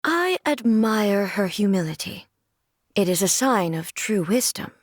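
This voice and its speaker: A woman's voice: mid-range voice, lively intonation, dry recording, clean studio sound. A woman playing a stern, regal deity ruler in a video game, speaking in a formal, composed, stately way. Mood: reverent, calm. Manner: formal, stately, measured delivery. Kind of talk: scripted video game character voice lines.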